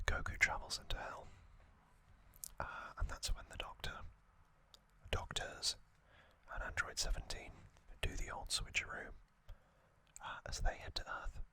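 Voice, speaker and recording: An ASMR host speaking in a soft, breathy, mostly unvoiced whisper, gently recapping in short phrases with lingering pauses, close to the microphone. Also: dry recording. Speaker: host of a whispered trivia channel.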